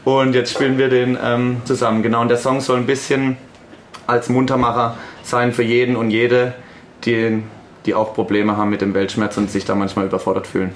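A man in his thirties, a band's singer and songwriter, talking in a relaxed, casual way in an interview. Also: medium pitch, a little fast, reverberant, slight background noise. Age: 30s.

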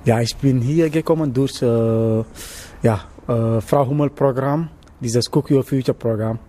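A young man from Senegal who learned German as an adult, answering interview questions about his cooking apprenticeship, often pausing to search for words. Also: mid-range voice, warm tone. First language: not German. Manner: halting, searching for words with many hesitations. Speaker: interviewee, trainee cook. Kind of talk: casual interview.

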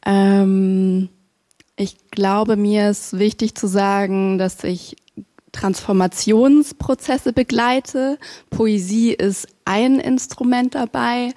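Woman poet, unhurried measured delivery, warm dark tone, live poetry reading. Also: medium pitch. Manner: slow, measured, gently hesitant. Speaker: poet and facilitator.

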